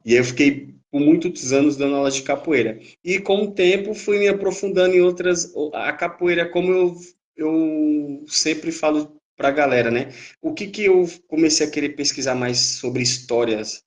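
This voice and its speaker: A man's voice: on the high side, normal speed. Hesitant Brazilian man in his thirties. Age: 30s. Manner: hesitant, many fillers and restarts, uneven pace. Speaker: capoeira instructor, guest. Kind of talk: live-stream interview.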